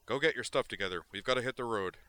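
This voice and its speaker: A man voicing a brash, frantic male doctor in a read-aloud story, shouting and cursing. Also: medium pitch, fast talker, no echo, voice pushed up, lightly acted. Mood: brusque. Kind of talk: audiobook character voice.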